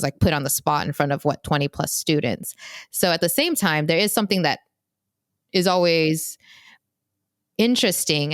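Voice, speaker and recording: A young woman co-hosting a family podcast, her voice sitting very low for a woman. She rambles through her thoughts with plenty of fillers and false starts, close to the mic in a dry, dead room. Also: clean tone, dry recording. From United States. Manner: rambling, many "like" fillers and restarts. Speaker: podcast co-host. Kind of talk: casual chat among siblings.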